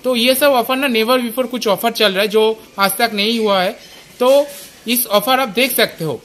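A man in his thirties, a store manager, pitching the day's offers in an on-camera interview inside a large shop, eager and rambling, into a handheld mic. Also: unhurried, fairly bright tone. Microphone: handheld reporter mic.

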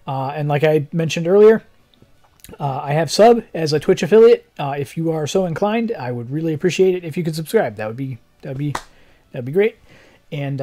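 A young man with a fairly high-pitched, mellow voice that sounds a little hoarse. He talks like a game streamer chatting to viewers, easygoing and rambling, with a technical bent. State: slightly hoarse. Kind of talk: casual livestream chat. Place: living room, seated in armchair indoors.

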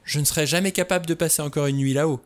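A man reading an audiobook, voicing a frightened young woman who speaks in a high, strained, urgent way. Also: fairly even intonation, very fast, lightly acted. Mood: fearful.

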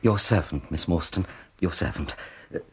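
An actor playing a fussy, anxious English gentleman aesthete in a radio drama, with an effete, florid and hesitant manner. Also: deep voice, normal speed, over loud music. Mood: effusive.

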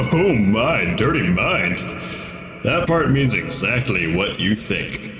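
A man's voice: on the low side, sing-song, takes it slowly. A man playing an arrogant, cheeky demon prince of lust in a theatrical audio drama. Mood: boastful, sultry. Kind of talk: audio fiction podcast acting. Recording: echoing cave reverb with music behind.

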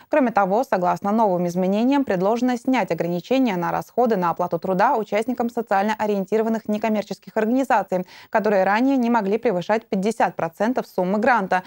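A young woman reads the news in a formal, even delivery. The studio sound is dry and close. She delivers scripted bulletins as the anchor. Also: mid-range voice, no room sound.

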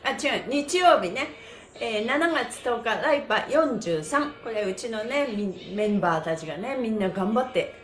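A woman hosting a livestreamed exercise class, chatting to viewers in a cheerful, energetic, polite presenter manner. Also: on the high side, moderate pace, soft background music. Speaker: host of a livestreamed stretching class, pantomime performer. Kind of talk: upbeat exercise instruction and casual livestream chat to viewers. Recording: roomy echo, slight background noise.

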